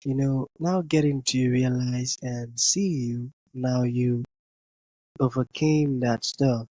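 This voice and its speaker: A male host speaks very slowly, with brief reactions and careful questions. The sound is dry and close, with a dull, muffled tone. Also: medium pitch, steady tone, darkish tone, no echo. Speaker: interview host. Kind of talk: interview questions.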